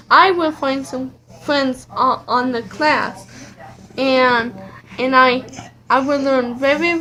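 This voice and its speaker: A high, bright female voice speaks slowly in a casual radio exchange. She is hesitant and repeats words as she feels her way through. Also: rough recording. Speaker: aspiring amateur radio operator.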